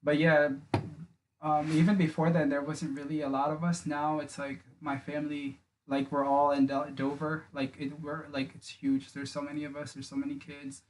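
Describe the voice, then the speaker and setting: A young man from the Philippines talks in a small home podcast studio, close on a boom mic. His voice sits a little high and stays flat. He wanders through his points with a lot of filler words. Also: moderate pace, slight background noise, low-quality recording. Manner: rambling, many filler likes and restarts. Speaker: podcast guest. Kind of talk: casual interview chat. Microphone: studio mic on boom arm, wearing headphones.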